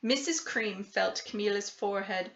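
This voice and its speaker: A woman reading a children's picture book aloud in an expressive, deliberate storytelling style. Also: medium pitch, muffled recording. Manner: animated, deliberate storytelling delivery. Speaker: storybook reader.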